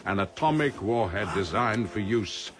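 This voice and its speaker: An actor in a radio drama playing a pompous, coldly ruthless criminal mastermind who talks formally and deliberately with polite menace. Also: male voice, fairly low pitch, expressive. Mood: smug, formal.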